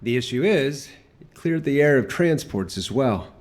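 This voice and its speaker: An actor playing a casual, sardonic veteran fighter in a video game, with a dry joking manner. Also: male voice, mid-range voice, lively intonation, normal speed, lightly acted. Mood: wry. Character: wry, laid-back veteran male fighter, Blair. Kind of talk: video game dramatic acting.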